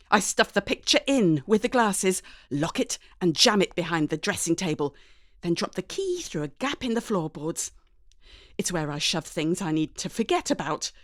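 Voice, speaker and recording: Female storyteller, unhurried and expressive, very dry close sound, faint music underneath. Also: medium pitch, no echo. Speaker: story reader. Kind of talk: dramatic first-person story narration.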